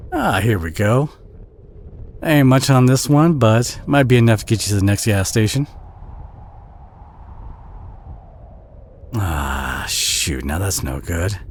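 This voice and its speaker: A man with a Southern US accent playing a kind, protective, bashful country boy in an audio roleplay, speaking in a gentle, folksy, hesitant way with a husky voice. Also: fairly low pitch. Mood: sheepish. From southern United States. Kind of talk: audio roleplay acting, addressed to the listener.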